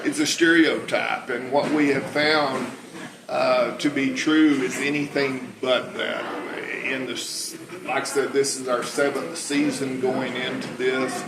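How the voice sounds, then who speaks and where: A man's voice: mid-range voice, fairly even intonation, measured pace. A man of about sixty with a preacher's big voice, telling anecdotes in an informal talk. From United States. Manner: anecdotal storytelling. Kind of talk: informal public talk and interview. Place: cafe, indoors. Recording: echoey room with clear background noise.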